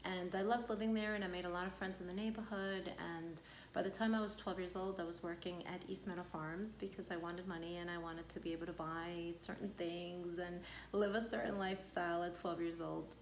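A woman's voice: on the low side, steady tone, brisk, a little hiss or hum. A woman, talking to camera about her working life in a chatty, rambling way full of fillers. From born in Argentina, raised on Long Island, New York. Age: 40s. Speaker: real estate broker. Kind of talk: casual personal introduction to camera. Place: home living room, indoors. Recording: echoey room.

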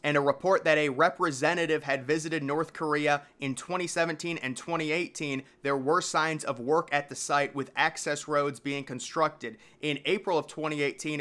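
A man's voice: a little high, a bit bright. A man hosting an informal video, mixing documentary-style narration with casual, slangy commentary.

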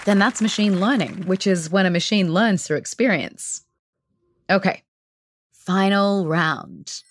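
A woman's voice: mid-range voice, measured pace, soft background music. A woman presenting theatrically as a game show host.

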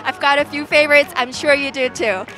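A woman's voice: high voice, fairly even intonation, a little fast, pushed and bright, faint music behind. A woman hosting a playful street interview outdoors on a busy city shopping street, asking passersby questions and teasing them with laughs. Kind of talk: upbeat vox-pop hosting.

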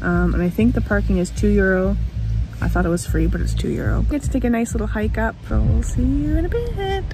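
A woman's voice: on the high side, fairly quick, gravelly, over music, low-quality recording. A woman in her twenties chatting casually to camera as a travel vlogger, recorded on a phone at arm's length. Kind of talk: casual vlog chat to camera. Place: mostly inside a moving car, also outdoors and hotel room. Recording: loud car and travel background noise.

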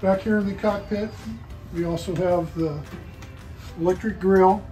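A man's voice: high voice, fairly even intonation, measured pace, mellow, faint music behind, rough recording. Older man giving a boat walkthrough. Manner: matter-of-fact, listing features. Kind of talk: walkthrough tour presentation. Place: inside a boat in an indoor showroom.

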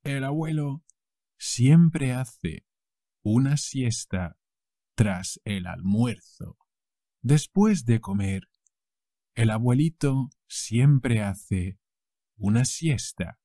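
A man from Spain with a Castilian Spanish accent teaches short phrases at a very slow, careful pace, repeating each one in variants. His voice is mellow and a little husky. It is recorded close and dry. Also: mid-range voice, expressive, dry recording. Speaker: language teacher. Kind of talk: language lesson.

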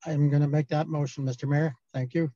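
Brief: canadian councillor, highish mellow voice, insistent, dull dry call audio. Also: male voice, little pitch movement, a little fast, no room sound. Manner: earnest, insistent, repeats himself. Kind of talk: council debate.

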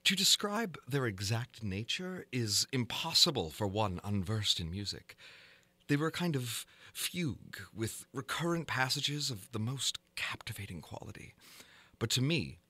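A man reads gothic fiction as a dramatic narrator. His voice is fairly low yet bright and forceful, and it moves expressively through formal, measured phrases. The sound is dry, with no room around it. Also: dry recording. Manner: formal, measured, theatrical delivery. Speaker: narrator reading a story. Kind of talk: dramatic audiobook reading of gothic horror fiction.

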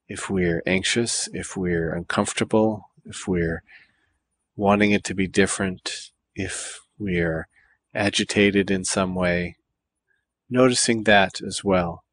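Meditation guide: male, low register, calm and deliberate, leaving space between phrases. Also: dry recording. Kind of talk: guided body scan meditation.

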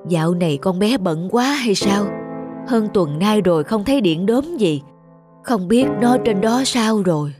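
Woman voicing a slow-talking, tender elderly mother. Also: medium pitch, lively intonation, over music, natural voice. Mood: lonely, wondering. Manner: slow, drawn-out, affectionate. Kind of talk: cartoon dubbing.

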